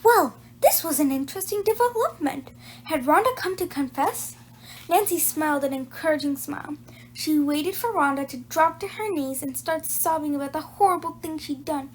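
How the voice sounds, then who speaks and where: Female storyteller for kids' read-aloud, high voice, faint background noise. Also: lively intonation, normal speed, poor audio. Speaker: storyteller reading a children's book aloud. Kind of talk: animated read-aloud narration for children.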